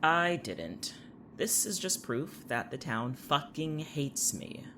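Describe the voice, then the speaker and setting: A man's voice: a little high, big pitch swings, slow pace, background noise. Actor as a sardonic, defiant young man. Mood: flat, bitter. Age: young adult. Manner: dry, sarcastic, irritable. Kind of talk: audio drama acting.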